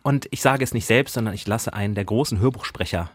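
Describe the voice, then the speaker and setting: Clear-voiced man hosting, close and dry. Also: fairly low pitch, fast, no room sound. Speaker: podcast host. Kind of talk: chatty literary podcast presenting.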